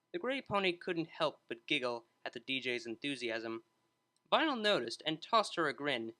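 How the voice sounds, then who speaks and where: A man narrates fiction in a bright voice that sits a little high. His expressive reading is lively, with wide movement in pitch. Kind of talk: scripted story narration, expressive.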